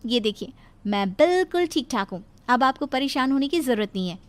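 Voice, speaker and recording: A woman's voice: sits high, animated, a little fast. A woman voicing a headstrong, self-assured young nurse and daughter-in-law, confident and dismissive, in a cartoon story. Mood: reassuring. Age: young adult. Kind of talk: cartoon moral story voice acting.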